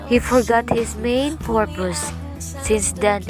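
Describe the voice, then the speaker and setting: Woman narrator, steady storytelling, guitar music behind. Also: a little high, takes it slowly. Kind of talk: student radio drama narration. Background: background guitar music.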